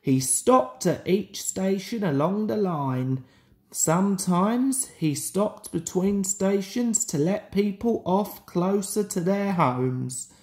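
A woman reads a children's story aloud. Her delivery is slow and deliberate, with a lively, swinging pitch that keeps the narration expressive. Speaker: storybook reader.

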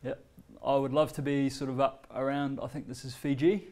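Brief: New man, 30s, easygoing workshop presenter, mid-pitched voice, room mic from across the room. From New Zealand. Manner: relaxed, conversational, many fillers and pauses; standing, walking between screen and laptop. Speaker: presenter demonstrating a learning tool. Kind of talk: informal workshop demonstration. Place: bright medium-sized meeting room with a screen, indoors. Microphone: far camera.

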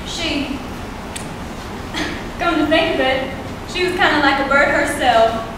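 A woman's voice: high-pitched, slow. A young woman acting an earnest, regretful, plain-spoken farm wife of the early 1900s in an amateur stage play. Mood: regretful, reflective. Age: young adult. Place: small theatre stage, filmed from audience. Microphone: distant camera. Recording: echoey, noisy stage recording from far off.

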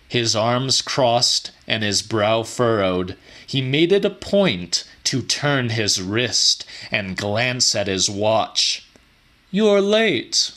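Slow, bright-voiced male audiobook narrator. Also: on the low side. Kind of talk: dramatic scripted narration of weird fiction.

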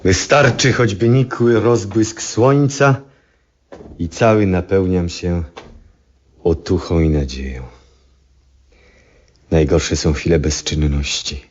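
An actor playing a lonely, sick old male astronomer in a radio drama, speaking in a halting, reflective, husky voice. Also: deep voice, slight background noise. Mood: faintly hopeful. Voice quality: husky, hushed. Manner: halting, reflective, with long pauses. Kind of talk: 1970s Polish radio drama acting, introspective monologue. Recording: dull, muffled 1970s radio recording.

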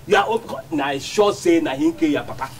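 An actor playing a bullying, mocking police officer, speaking with a Nigerian Pidgin accent. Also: male voice, a little high, brisk, lightly acted. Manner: gruff, mocking, threatening. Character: bullying male police officer, middle-aged.

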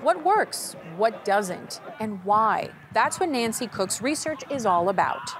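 A woman host reading a scripted science narration, bright and inviting, asking questions of the viewer. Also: a little high, big pitch swings, slow, slight background noise.

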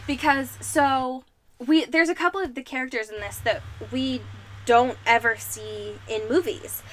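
A woman's voice: high-pitched, fairly even intonation, measured pace, poor audio. A young woman hosting a podcast in a casual, informal, giggly way, with slang and swearing. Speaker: podcast host. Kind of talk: casual chat.

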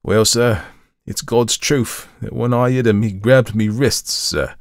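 A man's voice: medium pitch, fairly even intonation, measured pace, no room sound, studio quality, pitched above the performer's own voice, natural voice. A man voicing a young Cockney manservant and ex-soldier, deferential but indignant, in a colloquial storybook reading. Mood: horrified, confessing. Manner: colloquial, deferential, calls master sir.

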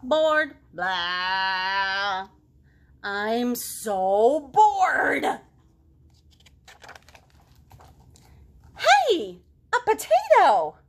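A woman voicing an indignant, boastful, excitable little girl from a storybook, exclaiming dramatically. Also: a little high, very slow, heavily acted. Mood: bored, dramatic.

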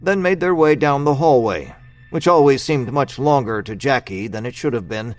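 A man's voice: mid-range voice, moderate pace, soft background music. Male narrator of a scripted story.

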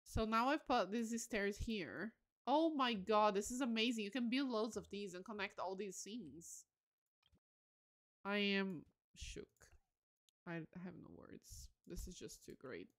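A woman live-streaming a game, talking casually to her viewers. Her pitch swings widely and expressively as she gushes and exclaims over what she sees. She sounds excitable and informal. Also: slow. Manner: excitable, gushing, exclaims often.

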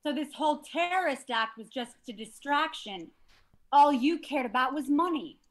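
Woman playing an earnest high-voiced tough cop. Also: animated, moderate pace. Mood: determined. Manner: dramatic, earnest. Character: earnest, tough female action-comedy cop. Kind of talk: live comedy table read.

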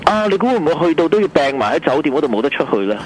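A man's voice: a little high, very animated, rapid-fire, slight background noise, poor audio. A man with a Hong Kong Cantonese accent, playing a fussy, anxious man calling about a trip. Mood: fretful. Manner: fussy, anxious, over-prepared. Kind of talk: Cantonese web radio drama.

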